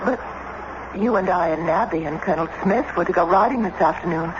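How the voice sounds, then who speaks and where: A woman playing an earnest, strong-minded statesman's wife in a period radio play. She is insistent and emphatic in her delivery. The sound is dull, with clear noise from an old broadcast. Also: fairly low pitch, a little fast, close to an everyday voice. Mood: urgent, pleading. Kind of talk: radio drama acting. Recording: noisy, muffled old radio broadcast recording.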